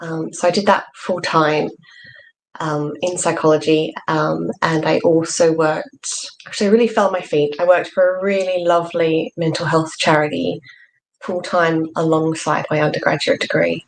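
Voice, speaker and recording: A woman's voice: low voice, level tone, normal speed, creaky, slight background noise, poor audio. A woman in her thirties with an Australian accent, a guest talking reflectively in an interview with many ums. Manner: fluent, reflective, lots of ums and "sort of". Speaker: trainee psychologist guest. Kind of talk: interview conversation.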